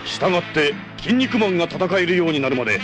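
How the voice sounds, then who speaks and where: A man's voice: on the high side, animated, a little fast, lightly acted. A man voicing a righteous superhero wrestler in anime, declaiming a heroic challenge. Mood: defiant. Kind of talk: anime acting. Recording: loud music behind anime dialogue.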